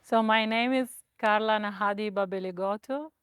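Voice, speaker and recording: A woman singer from Italy with an Italian accent, introducing herself in a relaxed documentary interview. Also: mid-range voice, normal speed, clean tone, no echo, clean studio sound.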